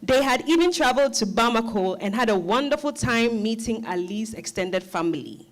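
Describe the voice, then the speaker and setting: A woman's voice: on the high side, lively intonation, moderate pace. A woman narrating a scripted dramatized reading as storyteller.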